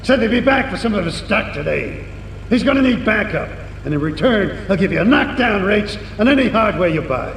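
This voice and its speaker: Gravelly, echoey, shifty American man. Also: high-pitched, very animated, normal speed, full character voice. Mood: conspiratorial. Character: shifty, agitated corrupt middle-aged veteran with shady deals. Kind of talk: video game cutscene acting. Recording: echoey, distant, faintly noisy.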